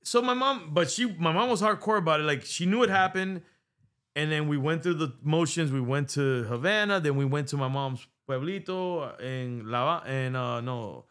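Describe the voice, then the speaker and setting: A man's voice: a little high, expressive, moderate pace, a bit bright, smooth. A middle-aged man hosting a podcast, telling a long story in casual chat, swearing freely and dropping Spanish words, into a studio mic. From United States. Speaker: podcast host. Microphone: studio mic on boom arm.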